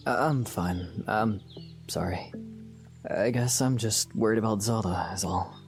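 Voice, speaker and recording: A man playing a reserved young swordsman in an audio drama, terse, guarded and low-key. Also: unhurried, vocal fry, faint music behind. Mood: subdued worry. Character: reserved, stoic young swordsman, protective and worried. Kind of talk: fan audio drama acting.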